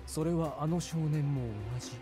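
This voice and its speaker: A man's voice: a little high, steady tone, fast, natural voice. An actor voicing a terse, cold young man who speaks sparingly in a visual novel. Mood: cool. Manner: clipped, sparing with words. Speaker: game voice actor. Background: game music.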